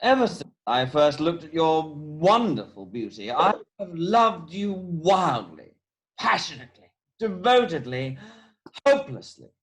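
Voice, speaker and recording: Casting: a man as vain, witty young gentleman in a stage comedy read-through, highly varied intonation. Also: sing-song, measured pace. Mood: ardent, wooing. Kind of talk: amateur play read-through. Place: at home on a video call. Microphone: video call.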